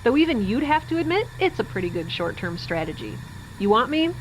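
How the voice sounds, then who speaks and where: Wry young woman rebel: fairly high voice, conversational sarcasm, faint background noise. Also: very animated, brisk, poor audio. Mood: defiant, teasing. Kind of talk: audio drama acting.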